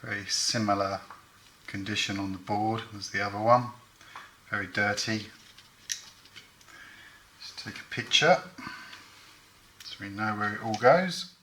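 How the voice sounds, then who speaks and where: Man, fairly low voice, matter-of-fact, short phrases, hands-on demonstration, clearly noisy recording with clicks. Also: measured pace, pauses often, a bit bright. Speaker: repair channel host.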